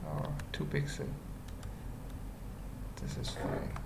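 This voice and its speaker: A tutorial presenter thinking aloud in halting, murmured fragments during an informal coding screencast. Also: background noise. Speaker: web development tutorial presenter.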